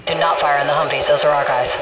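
An actor playing a businesslike military gunship fire control officer, giving clipped, commanding radio callouts in a video game. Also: male voice, a little high, flat delivery, quick pace, natural voice. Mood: urgent, warning. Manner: terse, commanding. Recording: distant military radio, loud aircraft cabin noise.

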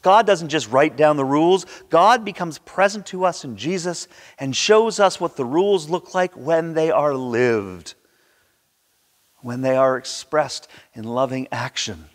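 A man in his sixties preaching a sermon with theatrical, emphatic delivery and dramatic pauses, recorded in a church. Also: a little high. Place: large church sanctuary, indoors at a pulpit. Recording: reverberant church hall.

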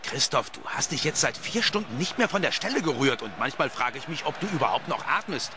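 A man's voice: mid-range voice, very animated, fairly quick, noisy background. A man dubbing football footage for comedy in a pleading, fussing way. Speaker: comedy dubber. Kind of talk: comic dub of football footage.

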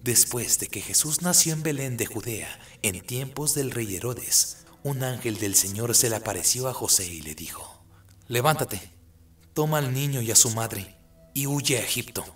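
A man's voice: mid-range voice, animated, moderate pace, fairly bright tone, faint music behind. A man narrating a biblical story from a script as a radio drama narrator.

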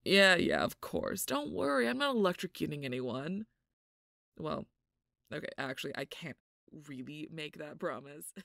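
Woman acting a creaky, teasing, sporty young mage. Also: low-pitched, animated, normal speed, a bit bright, no echo, clean studio sound, full character voice. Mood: playful. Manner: jokey, easygoing drawl with puns. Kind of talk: scripted audio roleplay.

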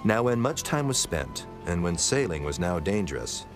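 A man's voice: deep voice, big pitch swings, moderate pace. A man narrating scripture from a script in a formal, solemn manner. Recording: prominent music bed under voice.

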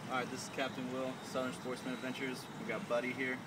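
A man's voice: medium pitch, quick pace. A young man hosting his own fishing video on a waterside dock, talking casually and cheering his buddy on. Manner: laid-back, turning into loud excited cheering when a fish bites. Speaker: fishing guide and show host. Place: outdoors on a boat dock by the water. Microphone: camera some distance away. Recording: distant camera mic with loud boat and water noise.

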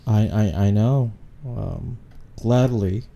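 A hoarse man in casual podcast chat on a couch, speaking thoughtfully with pauses and fillers into a handheld mic. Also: fairly low pitch, dark tone, highs cut off. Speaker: podcast co-host. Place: living room couch. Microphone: handheld mic close to mouth.

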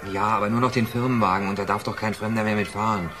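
A man's voice: lowish voice, fairly even intonation, quick pace, music in the background, natural voice. An actor playing an anxious young male petty thief in a radio crime drama, pleading and evasive. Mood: evasive, defensive. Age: young adult.

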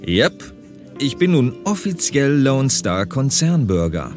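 A man's voice: medium pitch, big pitch swings, slow pace, darkish tone, soft background music. A man playing an easygoing, dry-humoured police pilot in a German audio drama. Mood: casual, dry.

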